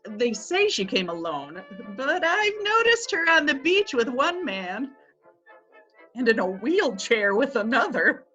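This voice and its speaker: A woman's voice: sits high, big pitch swings, measured pace, a bit bright, highs cut off, faint music behind, exaggerated voice. A woman reading a chatty, gossipy society matron in a stage reading. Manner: gossipy and eager. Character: chatty society matron Mrs. Payne Dexter. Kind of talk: readers theatre reading.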